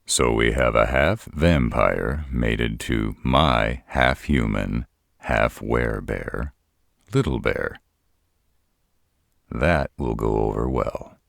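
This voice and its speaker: A gruff family patriarch, acted by a man for an audio roleplay, with an extremely deep, creaky voice. He talks slowly and bluntly, stern and shrewd, like he expects to be obeyed. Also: no echo, studio quality, exaggerated voice. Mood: probing. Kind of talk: roleplay audio drama acting.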